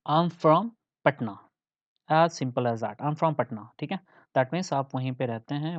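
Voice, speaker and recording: A man's voice: medium pitch, darkish tone, no room sound, dull audio. A young man with an Indian accent teaching an English lesson in Hindi mixed with English, keeps checking in with listeners.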